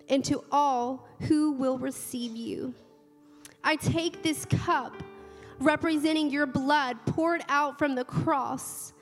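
Woman leading communion prayer, slow and careful, echoey church hall. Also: high-pitched. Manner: slow, measured reading. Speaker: communion leader. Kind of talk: scripture reading and led prayer. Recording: church hall reverb with soft music.

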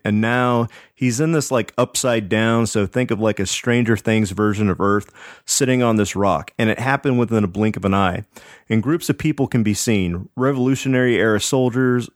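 A man's voice: fairly low pitch, dry recording, clean studio sound. A man hosting a podcast, telling a story casually with jokey, slangy conversational commentary. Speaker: podcast host.

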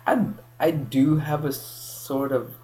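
Rambling young man, low voice, faint hum. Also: steady tone, slow pace, a little mellow, low-quality recording. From Kenya. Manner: rambling, many restarts and self-corrections. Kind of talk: casual chat.

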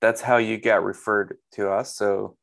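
A man's voice: fairly low pitch, flat delivery, measured pace, creaky, a bit bright. A man hosting a casual interview in an informal, chatty way. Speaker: podcast host.

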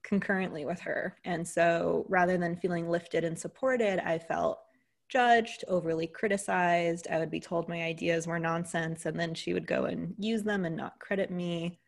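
Gravelly-voiced American woman coach. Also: fairly low pitch, moderate pace. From United States. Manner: earnest, animated storytelling with fillers. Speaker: guest, leadership coach. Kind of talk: casual interview storytelling.

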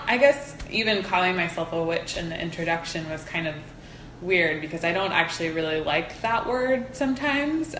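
A woman with a lowish voice talks casually and reflectively, as if working out her thoughts aloud. She is earnest and informal. The room echoes around her, with faint noise behind. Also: fairly bright tone. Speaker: interview guest, folk practitioner. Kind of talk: casual reflective interview conversation. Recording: roomy echo, faint background noise.

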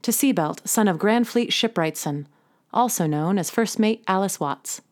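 A woman's voice: mid-range voice, expressive, normal speed. A woman narrating scripted closing credits for an audio drama as its presenter.

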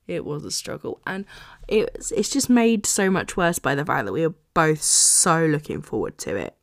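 A woman's voice: low-pitched, sing-song, moderate pace. A woman co-hosting a book podcast, chatting casually and laughing often. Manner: chatty, laughs often, reads blurbs aloud. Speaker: podcast co-host.

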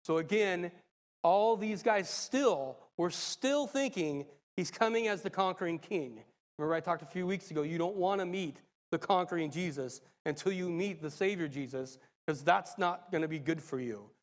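A man preaching a sermon, a little raspy and hoarse from a cold, excitable and laughing as he talks. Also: high-pitched, moderate pace, long reverb tail. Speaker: lay preacher.

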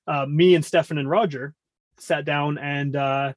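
Casting: middle-aged man, slightly higher-pitched, chatty podcast guest with a rambling delivery. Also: steady tone, a little slow, no room sound, clean studio sound. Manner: talkative, rambling, self-interrupting. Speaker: podcast guest, music podcast host. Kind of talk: casual conversational interview.

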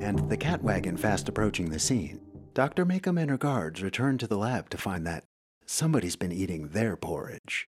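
A man's voice: on the low side, sing-song, moderate pace. A man narrating a children's adventure audio drama as its storyteller. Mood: dramatic. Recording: loud music under the voice. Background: loud dramatic music.

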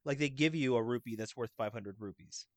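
A man's voice: mid-range voice, fairly quick, dry recording. Man chatting keenly, dry close sound. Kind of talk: casual chat about a video game.

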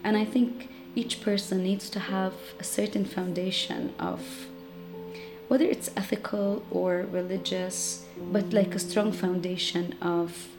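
A woman's voice: mid-range voice, fairly even intonation, unhurried. A woman in her thirties, raised in Jordan, telling her family's story reflectively with many fillers. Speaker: interviewee. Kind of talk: personal storytelling. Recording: music playing under the voice.

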